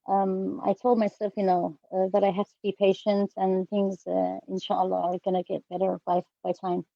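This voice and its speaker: A woman's voice: mid-range voice, flat delivery, normal speed, vocal fry, mellow, no echo. Woman from Yemen, careful and hesitant delivery, dull lo-fi call audio, dry sound. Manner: careful, hesitant, frequent fillers. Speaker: guest student.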